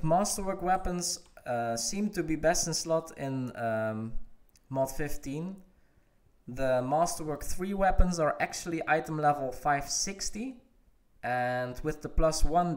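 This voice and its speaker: A man's voice: a little high, unhurried, a little mellow. A man, streaming a game and answering viewer questions in a casual, hesitant way with many fillers. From Netherlands. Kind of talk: casual livestream Q&A.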